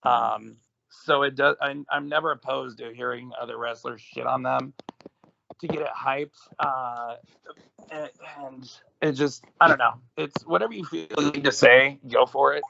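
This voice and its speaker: A man co-hosting a casual wrestling podcast, raspy and hoarse, rambling with lots of ums and restarts. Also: mid-range voice, fairly even intonation, normal speed, bright, forceful tone, lo-fi sound, slight background noise. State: hoarse from smoking. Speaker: podcast co-host.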